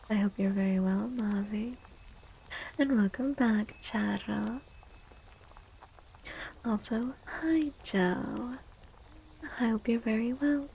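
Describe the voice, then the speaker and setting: A woman speaking softly and breathily, often near a whisper, in an unhurried, gentle ASMR livestream chat. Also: a little high, vocal fry, a little hiss or hum, low-quality recording. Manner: gentle, slow, unhurried, addressing chatters. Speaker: livestream host and tarot reader.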